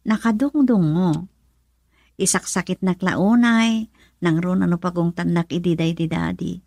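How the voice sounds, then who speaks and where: A woman's voice: lowish voice, measured pace, darkish tone, dry recording, clean studio sound. A woman playing a troubled, yearning young wife and nurse in an Ilocano radio drama, speaking emotionally and pleadingly with an Ilocano accent. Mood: wistful, tearful.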